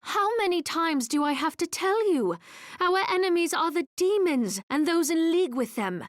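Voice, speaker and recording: A woman's voice: extremely high pitch, expressive, moderate pace, no echo, studio quality. A woman acting a firm, protective ally who speaks earnestly and formally in a video game cutscene. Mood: stern, rebuking.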